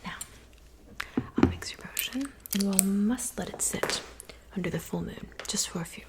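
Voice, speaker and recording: A kindly, slightly fussy witch of the woods, played by a woman, speaks in a soft, breathy whisper. Her pitch sits in an average female range. She is gentle, attentive and unhurried, as suits an ASMR potion-making roleplay. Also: close to an everyday voice. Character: kind, fussy woodland witch mixing love potions.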